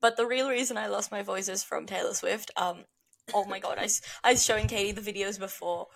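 A podcast host with a hoarse, gravelly voice that has been worn out by screaming. She chats in a bubbly, excitable way and giggles often. She talks fast and breathlessly, with lots of "like" and "genuinely.". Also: medium pitch. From New Zealand or Australia. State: hoarse, has lost her voice. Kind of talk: casual chat.

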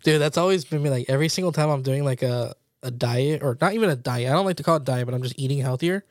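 A man's voice: medium pitch, fast, no echo, clean studio sound. A man in his late twenties chatting casually as a podcast co-host, informal, slangy and self-deprecating. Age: late 20s. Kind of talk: casual chat between friends.

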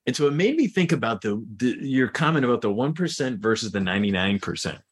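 A man's voice: mid-range voice, sing-song, quick pace, breathy edge. A man hosting a podcast interview, asking curious questions with frequent false starts. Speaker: podcast host. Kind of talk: conversational interview.

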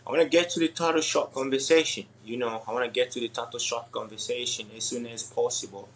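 A young man from Congo with French or Swahili as his first language, answering interview questions in a halting way with many pauses and laughs. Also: medium pitch, fairly even intonation, slight background noise, rough recording. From Democratic Republic of the Congo. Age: young adult. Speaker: MMA fighter guest.

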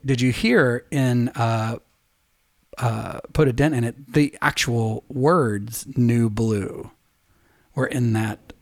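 A man's voice: mid-range voice, takes it slowly, no room sound. Chatty middle-aged man, dry close sound. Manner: chatty, laughs easily, drifts into stories. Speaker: podcast co-host and musician. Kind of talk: casual chat.

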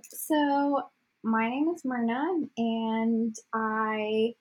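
Husky woman chatting informally. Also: a little high, steady tone, drawn-out words, a little mellow. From Egypt, until about thirteen. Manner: informal, tangential storytelling. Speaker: podcast co-host. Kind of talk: casual chat. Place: remote call.

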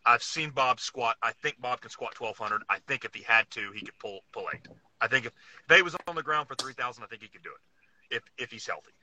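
A man's voice: mid-range voice, a little fast, bright, forceful tone, slight background noise, rough recording. A man co-hosting a casual sports podcast with emphatic, blunt banter, repeating phrases to drive his points home. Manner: blunt, casual slang and swearing. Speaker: podcast co-host.

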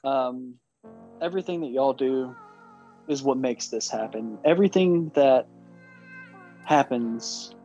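Male podcast co-host, warm and mellow, emphatic, filler-heavy talk, dull lo-fi audio. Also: medium pitch, slow pace, long pauses, soft background music. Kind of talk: casual podcast chat.

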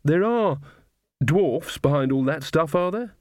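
A man's voice: a little high, expressive, a little slow, a little mellow, dry recording, clean studio sound, voice pushed up. A man voicing an earnest younger woman, a timid, polite witch who sounds hesitant and anxious in an audiobook. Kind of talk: audiobook character voice.

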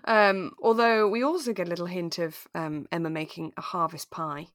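A woman's voice: fairly low pitch, normal speed, dry recording, clean studio sound. A woman co-hosting a podcast in casual, playful chat, recapping a drama. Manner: chatty, playful. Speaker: podcast co-host.

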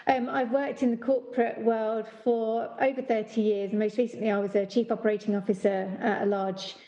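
A woman's voice: a little high, flat delivery, normal speed, mellow, lo-fi sound. A woman in her fifties from East London, an executive coach, explaining with enthusiasm as a podcast guest. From East End of London.

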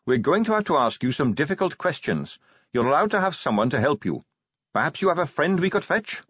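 A man's voice: a little high, big pitch swings, brisk, fairly bright tone, breathy edge, no room sound, highs cut off, voice pushed up, heavily acted. A man voicing an earnest, by-the-book watch captain in a fantasy audiobook, speaking politely, carefully and formally. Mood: polite, firm.

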